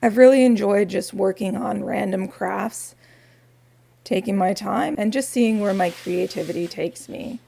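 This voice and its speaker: A woman with a raspy voice, talking softly and unhurriedly through a quiet crafting vlog in her home studio, with wry asides. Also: mid-range voice, unhurried. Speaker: crafting vlogger.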